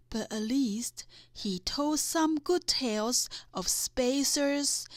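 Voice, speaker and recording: A woman reading a children's bedtime story aloud in a deliberate way, with dramatic emphasis. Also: on the high side, no room sound, studio quality. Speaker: storybook reader.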